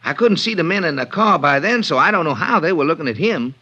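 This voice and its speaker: An actor playing a frightened, meek witness in a halting, earnest way, in a 1950s radio drama. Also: male voice, fairly high pitch, expressive, sharp tone. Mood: uneasy recollection. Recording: muffled 1950s radio recording.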